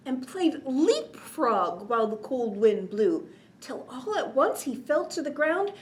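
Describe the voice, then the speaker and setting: A woman reading a children's picture book aloud expressively, in a sing-song way with chatty little asides. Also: high voice. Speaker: children's storytime reader.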